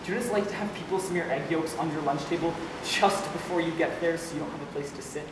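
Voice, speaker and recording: A man's voice: on the high side, fast talker, lightly acted. A man playing a wounded, sarcastic bullied schoolboy in an amateur stage play, with a sardonic delivery. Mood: bitter, sarcastic. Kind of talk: amateur one-act stage play monologue. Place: stage performance in a room or hall. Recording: very noisy, echoey, distant stage recording.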